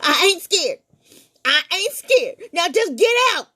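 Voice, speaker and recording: A woman's voice: sing-song, slow pace, pushed and bright, heavily acted. A woman voicing a frightened young boy who stammers and insists he is not scared. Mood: defiant. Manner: stammering, high and defiant.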